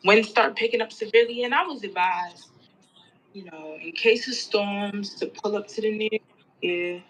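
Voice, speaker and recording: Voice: medium pitch, normal speed, fairly bright tone, natural voice, rough recording. An actor playing a boatman who recounts a storm at sea in a colloquial audio play monologue. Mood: earnest recounting.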